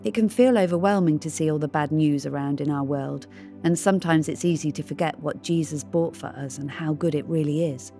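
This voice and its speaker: A woman's voice: low voice, brisk, dark tone, faint music behind. A woman reading a scripted devotional narration. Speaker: devotional reader.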